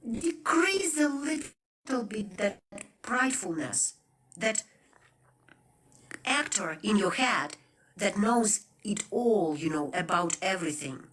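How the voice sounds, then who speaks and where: A woman with a slightly husky voice makes a passionate appeal. She lists her points one after another and builds in force. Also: on the high side, expressive, slow pace. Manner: emphatic, lists points, rises in intensity. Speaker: co-host. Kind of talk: impassioned conversational appeal.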